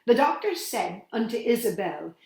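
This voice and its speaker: A woman hosting a children's storytime, reading a picture book aloud in an expressive, sing-song way with dramatic pauses. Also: a little high, vocal fry. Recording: roomy echo.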